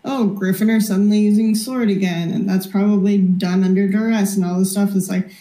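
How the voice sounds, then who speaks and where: A woman's voice: mid-range voice, fairly even intonation, brisk, mellow, reverberant. A female co-host on an esports talk show, casually building her argument point by point. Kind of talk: casual opinion chat.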